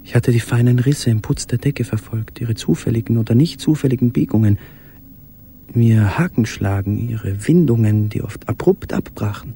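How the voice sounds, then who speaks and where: Ein Schauspieler spielt in einem Hörspiel einen gequälten, sich erinnernden Mann im Versteck, gedämpft, stockend und intim gesprochen. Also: male voice, mid-range voice, normal speed, mellow, close to an everyday voice. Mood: haunted, yearning. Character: haunted man in wartime hiding, remembering a beloved. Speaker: actor in a radio play. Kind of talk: literary radio play monologue. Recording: faint background noise.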